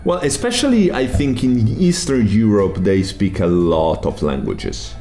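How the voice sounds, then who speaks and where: A man's voice: fairly low pitch, very animated, a little slow, gravelly, warm tone, roomy sound, faint music behind. A man, co-hosting a podcast in a casual, explanatory way. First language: Italian. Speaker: podcast co-host, comedian. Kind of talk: casual chat.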